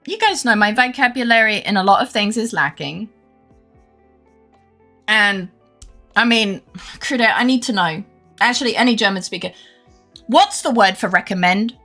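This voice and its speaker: A young woman streamer chatting casually while gaming, animated and swearing freely with frequent laughs. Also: mid-range voice, unhurried, pauses often, soft background music. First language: English.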